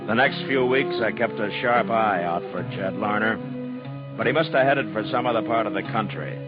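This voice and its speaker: An actor playing a steady, plain-spoken frontier marshal in a 1950s radio western, understated in dialogue and narration. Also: male voice, on the low side, moderate pace, lightly acted. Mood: reflective. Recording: dull old radio recording, music behind.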